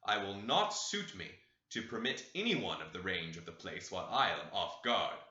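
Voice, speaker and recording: A man's voice: low-pitched, big pitch swings, moderate pace, pushed and bright, pitched below the performer's own voice, heavily acted. A male reader voicing a stern, morose grown man who speaks coldly. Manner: stern, cold delivery. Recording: dull, roomy home recording.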